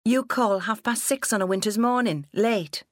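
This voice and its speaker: A woman's voice: medium pitch, expressive, unhurried, fairly bright tone, dry recording, clean studio sound, pitched above the performer's own voice. A woman voicing a rebellious nineteen-year-old farm daughter in an audiobook, snappy and resentful. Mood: indignant. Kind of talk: audiobook character dialogue.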